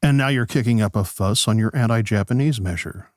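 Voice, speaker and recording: An actor playing a polite radio host who gently probes his political guests in a conversational interview style. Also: on the low side, fairly quick, no echo, studio quality, close to an everyday voice. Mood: polite, curious. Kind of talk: pseudo-radio play interview.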